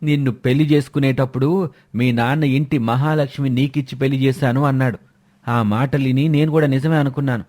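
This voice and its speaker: Man acting a grumbling village milk seller, medium pitch. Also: steady tone, quick pace, a little mellow, close to an everyday voice. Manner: defensive, complaining. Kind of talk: acted dialogue in a moral fairy tale.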